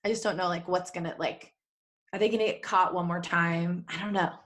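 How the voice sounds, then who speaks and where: A woman's voice: fairly low pitch, steady tone, fairly quick, fairly bright tone. A woman co-hosting a casual comedic podcast, playfully steering her friends along with questions. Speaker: podcast co-host. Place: recorded remotely over a video call.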